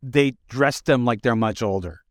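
A man hosting a casual interview, speaking haltingly and repeating words as he forms his thoughts. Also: medium pitch, dry recording, studio quality. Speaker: interviewer, host.

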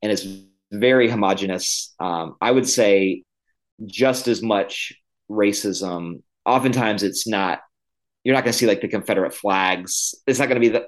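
A man with a fairly low voice, a guest on a podcast who grew up in the Midwest. He talks loosely, with plenty of "um" and "like," speeding up and then slowing down as he thinks. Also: rough recording. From Midwest, Illinois. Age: millennial. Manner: conversational, many fillers, uneven pace. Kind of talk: podcast interview.

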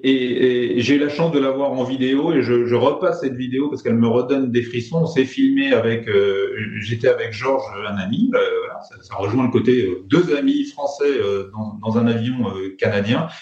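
A man with a French accent telling flying stories in conversation, pausing often with fillers and restarts. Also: mid-range voice, a little mellow. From France. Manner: hesitant, many fillers and restarts. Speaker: private pilot guest. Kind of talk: conversational storytelling. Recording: roomy, dull lo-fi sound.

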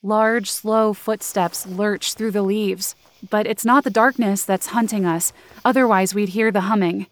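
A woman's voice: medium pitch, steady tone, a little slow, lightly acted. A young woman playing an anxious but determined narrator in a supernatural audio drama, earnest and descriptive. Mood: uneasy, hushed. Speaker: narrator and lead character.

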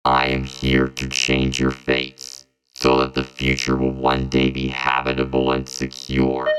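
Audio drama robot, slow, flat, formal, processed voice, loud synth music behind. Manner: formal, literal, emotionless delivery. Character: time-traveling robot sent from a ruined future, coolly formal. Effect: robot voice processing, pitched very low.

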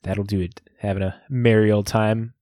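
A man's voice: lowish voice, little pitch movement, moderate pace, dark tone, no echo, clean studio sound. A man hosting a podcast in a casual, joking way. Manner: laid-back, joking, searches for words. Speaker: podcast host.

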